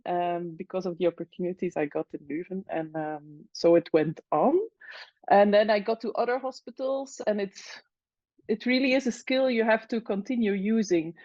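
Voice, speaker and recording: A woman from Belgium, an anesthesiologist speaking in a casual interview, hesitant with many fillers and pauses. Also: mid-range voice, darkish tone, no echo, highs cut off. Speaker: anesthesiologist guest.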